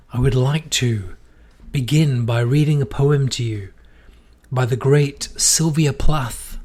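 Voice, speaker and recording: A sick man hosts his solo podcast, his voice strained and worn from a cold. He reads a poem at a slow, deliberate pace. He also rants with strong opinions about habits he finds illogical. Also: mid-range voice, slightly rough. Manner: slow deliberate reading, then opinionated ranting. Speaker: podcast host.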